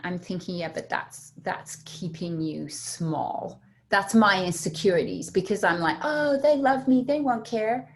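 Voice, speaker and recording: A woman on a group video call, reflective and hesitant, thinking aloud. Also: medium pitch. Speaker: course participant.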